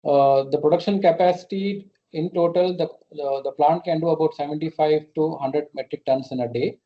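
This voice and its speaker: Man, Indian accent, fairly high voice, hesitant executive explaining, repeated words, dull conference-call audio. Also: fairly even intonation, moderate pace. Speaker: company executive answering investor questions. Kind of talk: unscripted earnings call answers. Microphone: conference call connection. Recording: muffled, band-limited conference call audio.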